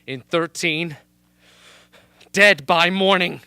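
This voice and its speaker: A man's voice: high voice, slow pace, pushed and bright, clear, resonant tone, no echo, heavily acted. A man playing a desperate, battered young captive in an amateur radio drama. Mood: desperate, pained.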